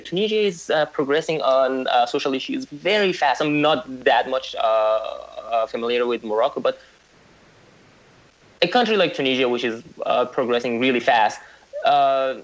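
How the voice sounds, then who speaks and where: A man from Iran, a podcast guest talking in a casual discussion, animated, with frequent restarts and fillers. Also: mid-range voice, normal speed. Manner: animated, many restarts and fillers, laughs mid-sentence. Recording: dull, slightly lo-fi remote audio.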